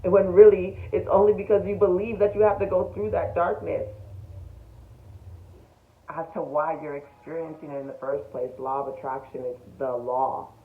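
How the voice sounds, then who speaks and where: A woman's voice: sits low, normal speed. A woman talking casually and emphatically to viewers in a self-development vlog, often posing rhetorical questions. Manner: emphatic, animated, rhetorical questions, frequent chuckles. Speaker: vlogger. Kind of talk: casual spiritual self-development talk to camera. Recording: roomy echo, thin phone-like sound.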